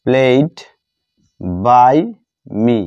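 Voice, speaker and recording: A man with a Bengali accent teaching grammar, dictating deliberately word by word and repeating phrases. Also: mid-range voice, flat delivery, clear, resonant tone, no room sound. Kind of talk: instructional lesson, bilingual grammar explanation. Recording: dull lo-fi sound.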